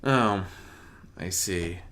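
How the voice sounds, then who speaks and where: A man's voice: low-pitched, extremely slow, sharp tone, heavily acted. A man voicing a dry, theatrical male trickster spirit with a taunting edge in a cartoon fan story.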